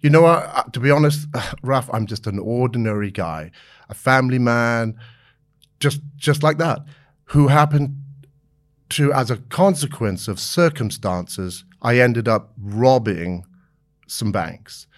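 A man's voice: medium pitch, a little slow. Guy around 60, chatty interview guest, laughs a lot, keeps restarting his sentences. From born in South Africa, raised in the United Kingdom. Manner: friendly and open, laughs easily, with frequent fillers and restarts. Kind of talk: candid conversational interview.

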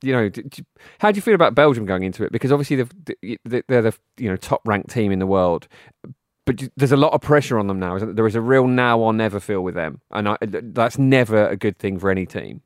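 A man's voice: lowish voice, expressive, fast talker, dry recording, clean studio sound. A man co-hosting a football podcast in casual chat, often breaking off and restarting phrases.

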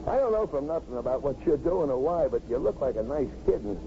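An actor playing an earnest, down-to-earth New York traffic cop in a 1950s radio drama. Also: male voice, medium pitch, big pitch swings, quick pace. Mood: earnest pleading. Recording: muffled, hissy 1950s radio recording.

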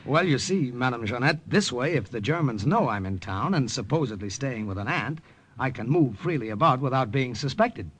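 A man's voice: mid-range voice, animated, normal speed, fairly bright tone, husky. An actor playing an American spy who narrates his wartime mission in a plain, matter-of-fact American voice. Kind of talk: 1940s radio drama narration.

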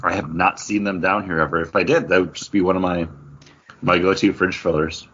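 A man with a husky voice chatting casually as the host of a podcast. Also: low voice, fairly even intonation, a little fast, a bit bright. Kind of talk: casual chat. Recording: dull lo-fi audio.

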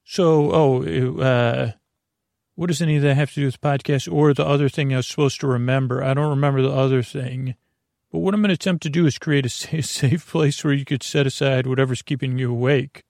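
A man talks in a creaky, fry-laden voice with very little room sound. He hosts a sleep podcast in a lulling, soothing way. His bedtime monologue meanders, with hesitations and self-interruptions as he questions himself. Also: medium pitch, steady tone, fairly quick, a little mellow, no echo, clean studio sound.

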